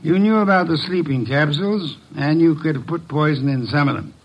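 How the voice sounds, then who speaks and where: An actor playing a shrewd, authoritative middle-aged detective boss who questions people deliberately in a classic radio drama. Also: male voice, on the high side. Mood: probing, stern. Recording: muffled old radio broadcast recording.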